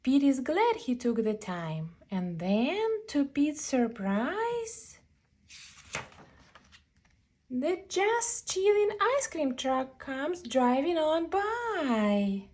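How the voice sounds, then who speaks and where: A woman narrates a children's storybook at an unhurried pace. Her voice sits fairly high and swings widely in pitch. She stretches out phrases for emphasis as she reads. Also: big pitch swings, darkish tone. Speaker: children's book reader. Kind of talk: animated read-aloud picture book narration.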